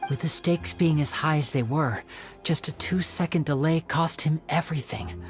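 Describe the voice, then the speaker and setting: A man playing a smooth young showman magician. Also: on the high side, normal speed, close to an everyday voice. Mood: deducing. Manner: smooth, theatrical, explanatory. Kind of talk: video game voice acting. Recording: game audio with soft music.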